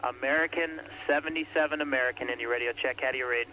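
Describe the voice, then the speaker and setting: A man's voice: mid-range voice, fairly even intonation, fast talker, pushed and bright, poor audio. A man working as an air traffic controller, calling aircraft in terse, clipped radio phrasing. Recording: archival radio recording with faint hiss.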